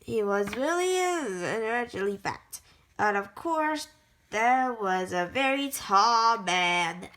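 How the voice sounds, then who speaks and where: A woman reading a picture book aloud in a careful, halting way, often stopping to correct herself. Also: on the high side, animated, fairly bright tone. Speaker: storybook reader.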